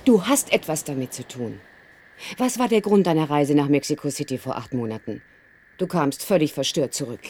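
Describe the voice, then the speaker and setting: An actress in a German radio play, playing a worried, questioning wife with an anxious manner. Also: female voice, low-pitched, expressive, moderate pace, darkish tone. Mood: worried, probing. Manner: anxious, pleading.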